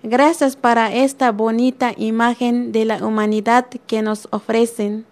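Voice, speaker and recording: A woman reading a listener's letter aloud on the radio, slow and earnest. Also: a little high, flat delivery, a little mellow.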